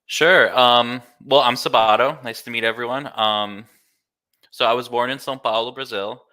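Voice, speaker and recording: A man's voice: mid-range voice, steady tone, normal speed, sharp tone. An American man in his thirties, from Miami, chatting informally as an interview guest and explaining things in an easygoing way. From Miami, United States. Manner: easygoing, informal, filler-heavy with "like" and "kinda". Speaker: interview guest, glitch artist. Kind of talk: casual explanatory chat.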